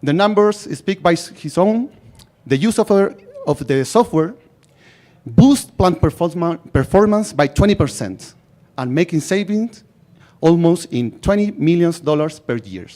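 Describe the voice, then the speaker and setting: A man from Chile with a Chilean accent and native Spanish gives a rehearsed startup pitch in short, emphatic phrases. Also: expressive, a little slow, darkish tone. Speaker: startup founder presenting.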